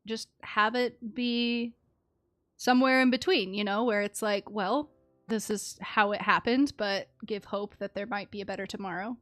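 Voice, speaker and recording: A woman's voice: medium pitch, steady tone, moderate pace, fairly bright tone, dry recording. A woman giving casual, chatty live commentary as she streams a game, reacting to the story.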